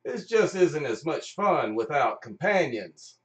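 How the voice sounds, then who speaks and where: A man playing an excitable, wistful old space captain in an amateur comic radio play. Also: on the high side, a little slow. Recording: roomy, mic at a distance.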